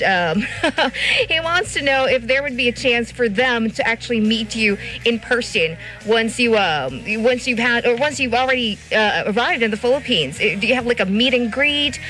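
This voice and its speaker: A woman's voice: fairly high pitch, pushed and bright. A woman hosting a radio interview, bubbly and enthusiastic. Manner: bubbly, enthusiastic, laughs often. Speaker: radio host. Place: radio studio.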